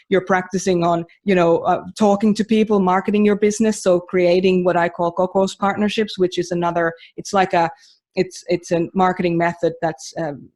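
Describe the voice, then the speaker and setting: A woman's voice: lowish voice, a little mellow. A woman from Finland, a mentor running a training course, talking casually and emphatically on a podcast with many restarts and fillers.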